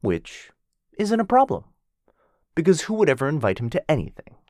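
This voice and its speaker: A man's voice: fairly high pitch, huge pitch swings, moderate pace, a little mellow, no echo, clean studio sound. Otto, a man voicing a cheesy flirty werewolf. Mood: playful.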